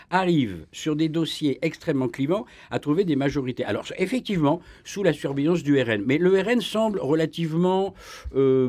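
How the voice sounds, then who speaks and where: Guy arguing his point on a radio panel, medium pitch, dry studio sound, keeps restarting and getting louder. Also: no room sound. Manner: emphatic, rising pitch when pressing a point, many restarts. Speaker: historian guest. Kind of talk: radio debate.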